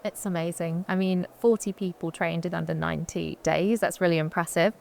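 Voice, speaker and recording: A woman interviewer with a slightly low, gravelly voice, sounding upbeat and encouraging as she puts questions to a guest on stage. Her tone is friendly and keeps the conversation moving. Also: fairly even intonation, brisk, darkish tone, slight background noise. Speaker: interviewer, moderator.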